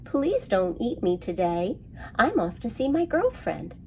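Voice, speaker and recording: Woman as a high, cheeky little storybook mouse. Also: very animated, unhurried, slight background noise. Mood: pleading. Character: small, cheeky, pleading male mouse.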